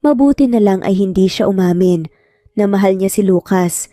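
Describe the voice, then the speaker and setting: Female storyteller, dark mellow tone, close dry sound, music behind, scripted romance narration. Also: mid-range voice, moderate pace, no echo.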